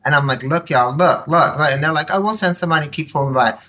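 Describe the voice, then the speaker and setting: Bright, emphatic woman with a low-set voice. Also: fast. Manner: emphatic, repeats words for emphasis. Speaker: podcast guest. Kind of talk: impassioned casual conversation.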